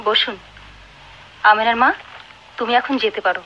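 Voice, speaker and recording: A woman's voice: fairly high pitch, fairly even intonation, unhurried, sharp tone. A woman playing a wealthy, mysterious lady in a Bengali radio play, poised, commanding and playfully teasing. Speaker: radio drama actor. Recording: noisy, muffled old radio drama recording.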